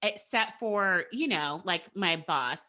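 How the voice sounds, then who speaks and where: A podcast guest in casual conversation, talking quickly and candidly with expressive emphasis. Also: medium pitch, very noisy.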